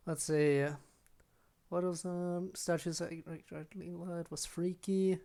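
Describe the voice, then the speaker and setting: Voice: mid-range voice, little pitch movement, extremely slow, creaky, warm tone. A game streamer chatting casually in an offhand way while commenting live.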